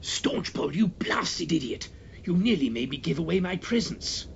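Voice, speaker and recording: A man playing a commanding English gentleman uncle from a comic storybook, brusque and easily exasperated. His delivery is very animated, on a dull recording with some noise. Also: on the high side, moderate pace, vocal fry, voice pushed up.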